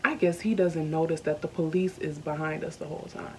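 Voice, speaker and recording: A young woman from the South, candidly telling a personal story on her vlog, earnest and slowed by emotion. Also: deep voice, steady tone, warm tone, a little hiss or hum. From Southern United States. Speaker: YouTuber. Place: indoors at home.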